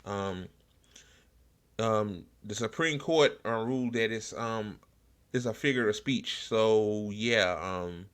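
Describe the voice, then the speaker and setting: An American man hosting a casual, unscripted political commentary show, talking bluntly in slang and profanity with halting, repetitive phrasing. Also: on the low side, a bit bright, clear, resonant tone. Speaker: online political talk show host.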